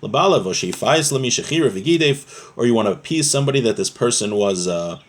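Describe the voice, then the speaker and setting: Male religious teacher, mid-pitched, conversational explainer who reads, translates and restates each point. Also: expressive, fast, slight background noise. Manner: conversational, repeats and rephrases points, asks rhetorical questions. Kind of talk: explanatory religious lesson reading and translating a text.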